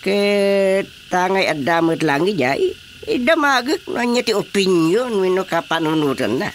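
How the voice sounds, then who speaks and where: A man's voice: high-pitched, big pitch swings, a little slow, a little hiss or hum, heavily acted. An actor with an Ilocano accent playing an older husband and father in a radio drama. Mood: earnest.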